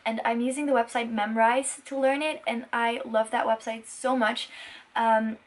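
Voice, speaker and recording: A woman's voice: fairly high pitch, flat delivery, moderate pace. A woman in her twenties from Belgium, a native Dutch speaker, chatting casually to viewers in an earnest, enthusiastic and emphatic way. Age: 20s. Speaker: vlogger and musician.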